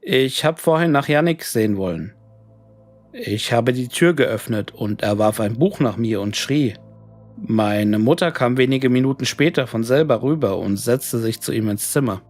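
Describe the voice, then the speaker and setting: A man reading a scripted horror story in a measured, clearly read narration. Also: mid-range voice, lively intonation, darkish tone, faint music behind. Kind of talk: scripted creepypasta audiobook narration of emails and diary entries.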